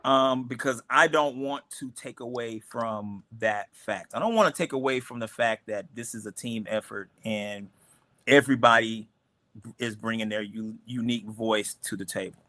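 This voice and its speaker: Comic creator, male, casual host talk full of ums and restarts, tense bright tone, dry mic. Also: medium pitch, no echo. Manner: halting, many ums and restarts. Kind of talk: casual livestream chat.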